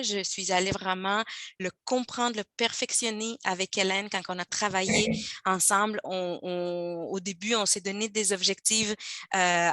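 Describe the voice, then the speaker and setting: A woman with a Mexican accent and Spanish as her first language gives an informal testimonial. Her voice is bright and forward, recorded dry with little room sound. She sounds enthusiastic but halting, often repeating a word before moving on. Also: medium pitch, no room sound. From Guadalajara, Mexico. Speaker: former mentee giving a testimonial. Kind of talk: informal testimonial in an online talk.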